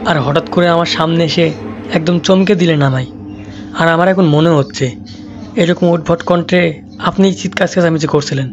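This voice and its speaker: A man playing a stubborn, excitable young traveller in a cartoon folk tale, with dramatic delivery. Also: on the high side, normal speed, pauses often, lightly acted. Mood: irritated. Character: irritable young male traveller, stubborn sceptic. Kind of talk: cartoon dialogue acting. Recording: slightly dull audio, music behind.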